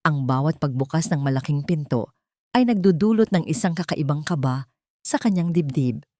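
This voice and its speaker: A woman's voice: deep voice, darkish tone, no room sound, clean studio sound. A woman reading scripted fiction as a dramatic audio story narrator.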